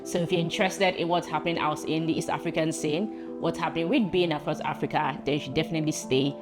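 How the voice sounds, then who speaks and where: A woman hosting an interview show, delivering a brisk, upbeat introduction. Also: deep voice, fairly even intonation, over music. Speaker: host. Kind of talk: podcast intro and interview questions.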